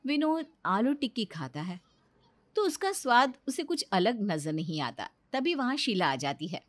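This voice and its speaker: A woman narrator reading a scripted children's story in an expressive storytelling manner. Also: on the high side, moderate pace, no room sound.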